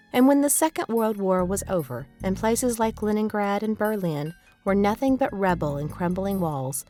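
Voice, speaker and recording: A woman author reading her novel aloud as scripted audiobook narration, in a measured, deliberate storytelling delivery. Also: medium pitch, a little mellow.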